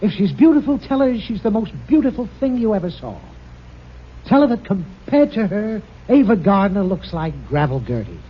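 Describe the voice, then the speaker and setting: An actor playing a gruff, vain older male boss with pompous, hammy delivery in old-time radio comedy. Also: high-pitched, big pitch swings, normal speed, full character voice. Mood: smug. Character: blustery, vain older male boss, married twenty-four years. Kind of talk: 1940s radio comedy acting. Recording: dull, hissy vintage radio recording.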